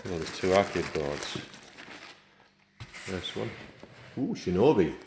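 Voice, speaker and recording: A middle-aged man with a croaky voice from a cold, chatting casually in a home vlog as he works and comments on it. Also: sits low, very animated, slow, background noise. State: hoarse from a cold. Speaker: gaming channel host.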